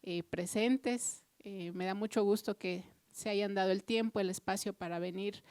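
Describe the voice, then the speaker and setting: A woman whose first language is Purépecha, speaking as a translator at a book presentation in earnest, reflective formal remarks, often pausing on "eh". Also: medium pitch, steady tone, a little mellow, dry recording. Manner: earnest, reflective, with frequent "eh" fillers. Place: official venue before an audience.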